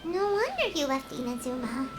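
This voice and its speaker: A woman voicing a tiny, excitable, childlike floating girl companion in a video game, with a squeaky voice. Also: brisk, over loud music. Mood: curious, sympathetic. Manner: excitable, third-person self-reference. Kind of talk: video game character dialogue.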